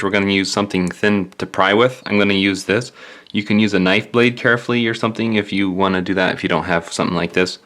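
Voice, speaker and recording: Male tutorial presenter, lowish voice, informal and matter-of-fact, walking viewers through hands-on steps. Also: fairly bright tone. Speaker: DIY phone repair presenter. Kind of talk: casual step-by-step tutorial narration.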